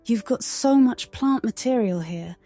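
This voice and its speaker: A woman's voice: on the high side, expressive, a little slow, mellow. A woman voicing a fussy female character in an audio drama. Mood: mildly critical. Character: Farah, a woman character fussing over wedding arrangements. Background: background music.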